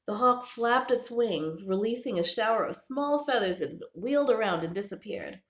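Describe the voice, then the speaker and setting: A woman reading a children's storybook aloud with expressive, deliberate narration. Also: on the high side. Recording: roomy, echoing indoor sound.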